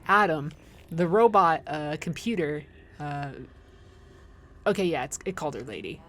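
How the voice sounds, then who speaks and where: A woman's voice: low-pitched, expressive, moderate pace. A woman doing casual livestream game commentary, chatting informally with viewers and rambling into playful asides. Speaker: livestream host playing a video game.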